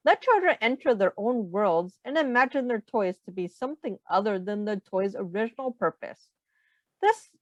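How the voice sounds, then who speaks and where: A woman reading aloud in an instructive, deliberate way with expressive emphasis. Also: fairly high pitch, no room sound. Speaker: teacher reading a picture book aloud. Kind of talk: read-aloud narration.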